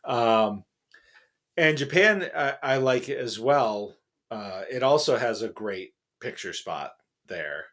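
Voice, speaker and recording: A man's voice: medium pitch, animated, slow pace, fairly bright tone. A middle-aged man co-hosting a podcast in casual chat, rambling with many fillers and restarts. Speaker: podcast co-host.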